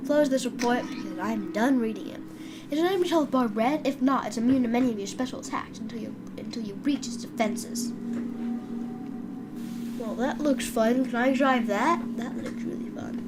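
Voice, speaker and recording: A woman's voice: a little high, normal speed. A woman with a stuffy voice from a cold, giving casual video game commentary and stumbling over words as she reads aloud. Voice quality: stuffy, congested. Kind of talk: casual let's play commentary, reading on-screen text aloud. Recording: loud game music behind voice.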